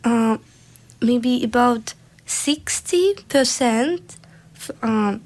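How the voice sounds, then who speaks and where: A young woman from Russia, co-hosting a live radio chat in a studio, halting as she searches for words. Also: on the high side, expressive, creaky, darkish tone. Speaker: radio co-host. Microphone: studio microphone.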